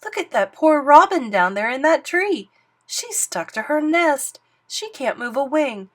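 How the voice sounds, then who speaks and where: Anxious page boy, voiced by a woman, high-pitched, urgent, calling out, earnest. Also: slow, a bit bright. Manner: urgent, worried, raised voice.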